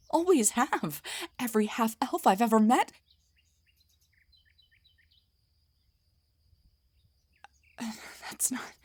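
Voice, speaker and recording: A woman voicing an indignant, defensive half-drow bard in a scripted fantasy roleplay audio, with a breathy, theatrical delivery. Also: high voice, exaggerated voice. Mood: incredulous, exasperated. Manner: theatrical, sarcastic, emphatic, stammers when rattled. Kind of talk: scripted roleplay monologue, one side of a conversation.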